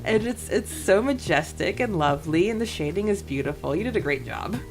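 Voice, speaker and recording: A woman's voice: fairly low pitch, lively intonation, a little fast, soft background music. A woman co-hosting a podcast in a casual, chatty and enthusiastic way about a book. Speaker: podcast co-host.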